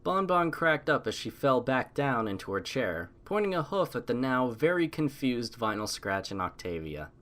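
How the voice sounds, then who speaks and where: A man's voice: mid-range voice, big pitch swings, normal speed, few pauses, fairly bright tone. A man reading scripted story narration.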